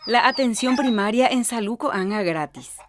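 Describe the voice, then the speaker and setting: A woman's voice: on the high side, expressive, normal speed, background noise, natural voice. An actress playing an earnest woman health professional visiting a village in a radio soap opera.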